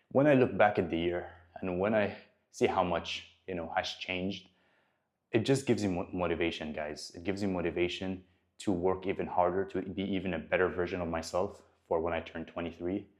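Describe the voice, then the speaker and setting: A man's voice: low voice, fairly even intonation, moderate pace. A man in his twenties, a personal trainer, talking to the camera about his progress and his goals in an earnest, motivational way, often restarting his sentences. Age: 20s.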